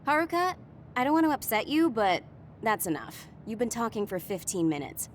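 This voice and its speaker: A woman voicing a lazy, nonchalant young female soldier in an anime game, with a deadpan manner. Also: a little high, moderate pace, slight background noise. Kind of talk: anime video game dub acting.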